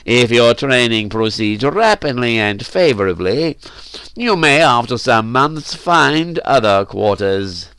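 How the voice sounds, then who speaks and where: A man voicing a commanding slave-house master in an audiobook, deliberate and imperious with a coolly mocking edge. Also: mid-range voice, expressive, a bit bright, smooth, dry recording. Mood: slyly mocking.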